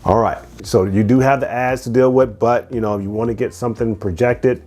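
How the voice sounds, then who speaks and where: A man's voice: medium pitch, fairly even intonation, a little fast, dark tone. A man giving a casual, conversational product review as host, explaining things step by step and repeating phrases as he goes.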